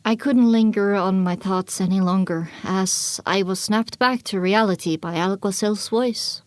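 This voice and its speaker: A woman's voice: medium pitch, measured pace, dry recording. A woman reading scripted first-person dramatic narration for an audiobook.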